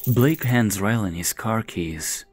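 A man's voice: on the low side, takes it slowly, faint music behind. A man reading scripted scene narration for an audio drama.

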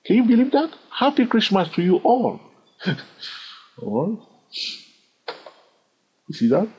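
Husky, lively man teaching chart trading. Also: high voice, a little slow. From lives in London. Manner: animated, asks rhetorical questions, chuckles. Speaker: trading educator. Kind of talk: screen-recorded chart lesson. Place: at a computer, voice over screen. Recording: dull lo-fi sound.

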